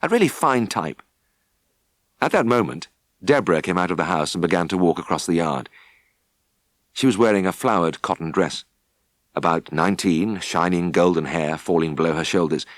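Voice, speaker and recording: A British man narrating a first-person story for an audiobook with expressive, scripted delivery. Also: low-pitched, moderate pace, no room sound, clean studio sound.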